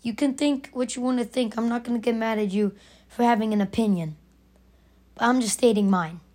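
A young woman with a raspy, slightly high voice hosts a solo podcast. She argues her opinion emphatically, circling back and repeating her points to drive them home. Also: a little fast, mellow. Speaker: podcast host.

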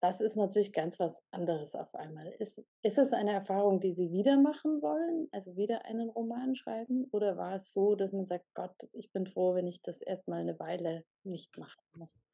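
A woman's voice: mid-range voice, normal speed, gravelly, hardly any pauses, dark tone, breathy edge. A woman hosting a radio interview, asking curious questions in a friendly, casual way and laughing often.

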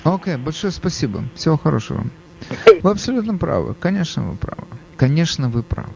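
A man hosting a call-in radio show, briskly steering callers and cutting them off firmly. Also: fairly high pitch, very animated, creaky, a little mellow, a little hiss or hum. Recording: dull lo-fi radio audio.

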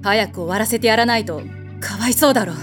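A woman playing a haughty, quick-tempered warrior goddess in an audio drama, with blunt, commanding, masculine phrasing. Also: on the high side, over music, lightly acted. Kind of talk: anime-like audio drama acting with narration.

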